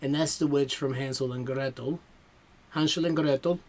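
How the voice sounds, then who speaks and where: A man's voice: medium pitch, steady tone, normal speed, a little hiss or hum. A man giving casual, exclamatory reaction commentary, talking back to the show he watches.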